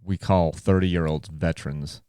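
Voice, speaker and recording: A man with a low-pitched voice hosts a casual podcast interview from his home office. He talks in a thoughtful, meandering way and often backs up to restart a sentence. The sound is dry and close, with no room echo. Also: fairly even intonation, a little slow, dark tone, clear, resonant tone. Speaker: podcast host.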